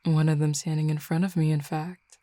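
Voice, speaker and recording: A woman's voice: low voice, level tone, fairly quick, warm tone, no room sound, clean studio sound, close to an everyday voice. A woman voicing a confident, flirty female werewolf in an audio roleplay, playful and teasing. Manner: playful, teasing, self-assured. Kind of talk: scripted audio roleplay acting.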